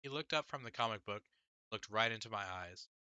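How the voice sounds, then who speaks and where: A man's voice: fairly low pitch, brisk, bright, forceful tone, smooth, no room sound, lo-fi sound. A man reading a first-person story aloud as scripted audiobook narration. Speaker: audiobook reader.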